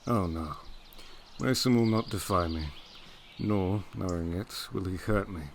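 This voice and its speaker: An actor playing a brooding, commanding master of a gothic manor in a period audio drama, intense and imperious in manner. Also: male voice, low voice, measured pace, a little hiss or hum. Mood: grim, guarded.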